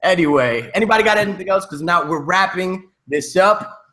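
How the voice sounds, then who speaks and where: Podcast host guy, kinda high voice, super bright and punchy, slangy and playful. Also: animated, brisk. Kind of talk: casual joking podcast chat.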